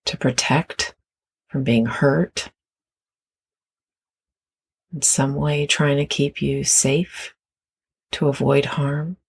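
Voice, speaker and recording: A meditation guide giving gentle, unhurried instructions with long pauses between phrases. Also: medium pitch, fairly even intonation, vocal fry, breathy edge.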